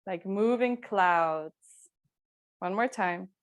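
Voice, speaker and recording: Female yoga instructor, mid-pitched, calm and unhurried, gently cueing breath and movement. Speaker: yoga teacher.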